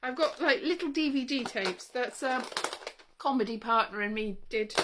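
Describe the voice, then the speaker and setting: A woman's voice: a little high, moderate pace, background noise. A woman talking casually to camera in a chatty, wry, rambling way, often breaking off and interrupting herself. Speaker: vlogger showing old interview tapes.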